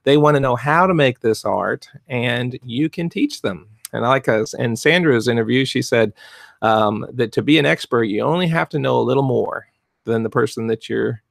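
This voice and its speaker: A middle-aged man, soft-spoken and rambling with many fillers, coaching art teachers in a casual live stream from his home studio on a desk mic. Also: mid-range voice, normal speed, gravelly, no room sound. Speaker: fluid art business coach. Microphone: desk mic in front of webcam.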